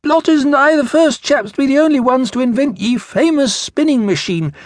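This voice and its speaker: A man voicing a very young British schoolboy, shrill, penetrating, excited and boastful, in a children's audiobook. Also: brisk, no room sound, studio quality.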